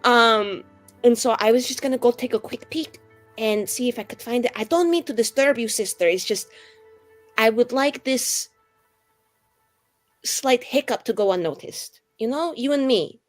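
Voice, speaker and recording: A woman's voice: on the high side, moderate pace, lightly acted. Girl playing a sly slangy young woman schemer, wheedling and teasing, tabletop game, music behind her. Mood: sheepish, pleading. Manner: rambling, slangy, cajoling with mock sincerity. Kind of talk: improvised tabletop roleplay dialogue.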